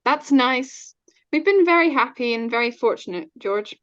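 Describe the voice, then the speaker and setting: An actress playing a calm, reassuring middle-aged wife and mother in an old-time radio drama. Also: high voice, moderate pace. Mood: touched, fond. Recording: dull, muffled online recording.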